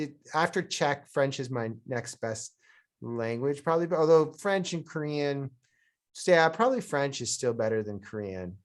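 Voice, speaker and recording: Middle-aged man from Canada, interview guest; chatty, self-correcting, mid pitch, video-call sound. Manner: rambling, self-correcting, chuckling. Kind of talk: casual interview conversation. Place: at home abroad, remote call.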